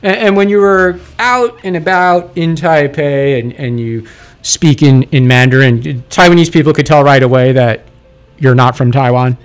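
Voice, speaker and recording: A man's voice: a little high, steady tone, normal speed, smooth. A man hosting a radio interview, asking chatty, teasing questions. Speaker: radio host. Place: radio studio.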